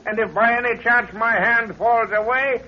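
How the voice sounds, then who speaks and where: An actor playing a gloating mad villain in a 1930s radio drama, mocking, theatrical and menacing. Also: male voice, very high-pitched, steady tone, moderate pace. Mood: smug, threatening. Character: gloating mad villain, male inventor and explosives genius. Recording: muffled, noisy 1930s radio recording.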